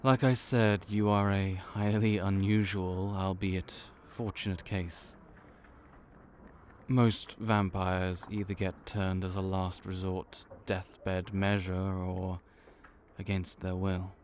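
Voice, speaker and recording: A man's voice: low-pitched, level tone, takes it slowly, slight background noise. A man voicing a laid-back vampire clerk and mentor in an audio roleplay, dry and wry as he speaks to the listener. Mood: easygoing.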